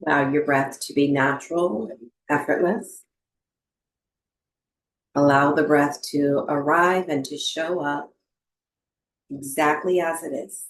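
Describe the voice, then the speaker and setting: Slow, low-voiced woman guiding meditation. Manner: gentle, soothing, unhurried with long pauses. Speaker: yoga nidra and breathwork teacher. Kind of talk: guided meditation instruction. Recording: roomy, echoing voice recording.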